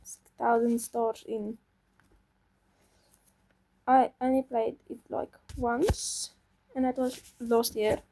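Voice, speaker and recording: A woman's voice: on the high side, level tone, takes it slowly, pauses often, mellow, low-quality recording. A young woman chatting casually to herself while playing a game, self-doubting and talkative. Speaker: gaming channel host. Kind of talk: casual gameplay commentary.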